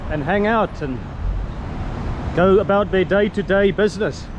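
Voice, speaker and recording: A man's voice: high-pitched, unhurried, muffled recording. A South African man in his thirties, an Afrikaans speaker, chatting and musing aloud as he walks a sunny street and films himself at arm's length. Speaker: travel vlogger. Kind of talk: walking tour commentary. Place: outdoors on a sunny suburban street. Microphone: handheld camera at arm's length. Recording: loud street traffic noise.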